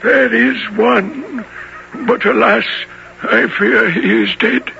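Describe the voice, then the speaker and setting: High, creaky old African nobleman. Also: bright, forceful tone, heavily acted. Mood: mournful. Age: older. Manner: slow, archaic formal phrasing. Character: kindly old African nobleman, mournful and gentle. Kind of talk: 1970s radio drama acting. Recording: dull, noisy old radio broadcast.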